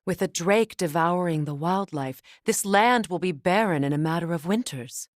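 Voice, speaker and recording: A woman playing a proud, wounded warrior goddess and queen in a video game, measured, regal and dry. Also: on the low side, no echo, close to an everyday voice. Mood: serious, resolute. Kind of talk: dramatic video game voice acting.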